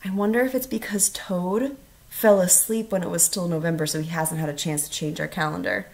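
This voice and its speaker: A woman teacher reading a storybook aloud to children, expressive and instructional, asking questions as she goes. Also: on the low side, brisk, creaky. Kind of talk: storybook read-aloud with questions to young listeners.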